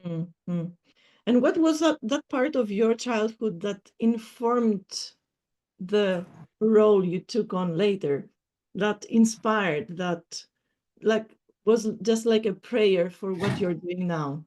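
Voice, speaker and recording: A woman with a mellow, warm-toned voice asks her guest questions. Her questions run long, circling back and rephrasing mid-sentence. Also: mid-range voice, takes it slowly. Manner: long rambling questions with self-corrections. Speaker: interviewer. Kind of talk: interview questions.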